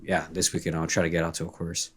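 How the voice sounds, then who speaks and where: A man co-hosting a casual sports podcast, laid-back and joking with quick quips, his voice a little hoarse from a recent illness. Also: low-pitched, monotone, fairly bright tone. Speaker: podcast co-host. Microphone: remote call recording.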